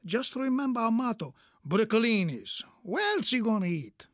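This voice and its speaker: A boastful Italian pizzeria owner pitches his place in a cartoon radio ad. His voice is extremely high, with a thick comic accent. The sound is dry and somewhat dull, like old game audio. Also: sing-song, moderate pace, dry recording. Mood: cheerfully boastful. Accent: exaggerated Italian. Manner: broken-English salesman patter.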